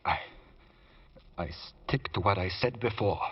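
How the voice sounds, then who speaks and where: An actor playing a guarded, defensive manservant on the witness stand in an old radio drama, deferential but evasive. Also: male voice, deep voice, slow pace, husky, exaggerated voice. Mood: evasive, hesitant. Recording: dull lo-fi old radio recording.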